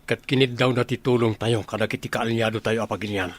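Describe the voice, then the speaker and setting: A man's voice: mid-range voice, steady tone, quick pace, natural voice. A man playing an earnest, concerned wartime medical helper with an Ilocano accent in a radio drama. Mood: urgent, concerned. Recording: faint background noise and ambience.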